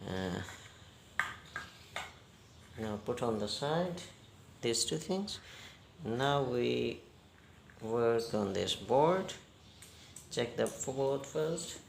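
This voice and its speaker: Slow, gravelly man giving halting instructions. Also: mid-range voice, lively intonation, slight background noise. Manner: halting, step-by-step instructions in short phrases. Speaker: repair tutorial host. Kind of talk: unscripted hands-on repair demonstration.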